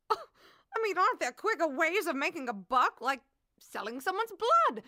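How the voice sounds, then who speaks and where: An actress playing an indignant, sharp-tongued wife in a comedic murder mystery audio drama. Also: female voice, extremely high pitch, big pitch swings, measured pace, dry recording, studio quality, heavily acted. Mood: incredulous.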